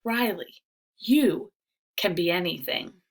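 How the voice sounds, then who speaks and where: Lady doing encouraging older guy cousin from a kids book, bouncy pitch, slow rhymes. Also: lively intonation, heavily acted. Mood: enthusiastic. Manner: reassuring, enthusiastic, rhyming storybook delivery. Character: encouraging, upbeat older male cousin advising a child.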